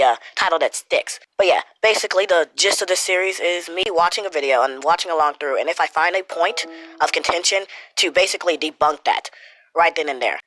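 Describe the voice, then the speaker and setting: A man's voice: a little high, sharp tone, no room sound, poor audio. A man with a cold and a stuffy nose, rambling through a casual commentary with lots of swearing and filler words. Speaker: YouTuber commentator.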